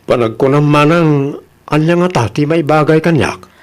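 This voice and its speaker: A man's voice: on the high side, normal speed, natural voice. An actor with an Ilocano accent playing an earnest, respectful young jobless man eager to work, in a radio drama.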